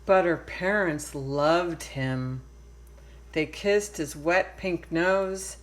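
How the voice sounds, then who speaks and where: Slow, low-pitched woman reading a storybook. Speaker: storybook reader. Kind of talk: children's read-aloud narration.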